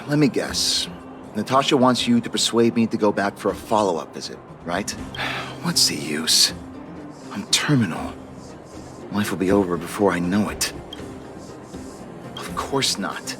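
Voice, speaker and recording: A man's voice: fairly low pitch, very animated, measured pace, pauses often. An actor voicing a glum, fatalistic male hypochondriac patient in a video game, resigned and defensive. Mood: wary. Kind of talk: video game character acting. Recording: music behind the voice.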